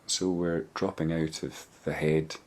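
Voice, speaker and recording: A man leading a guided body scan meditation, giving gentle, measured instructions with pauses. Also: a bass voice, level tone.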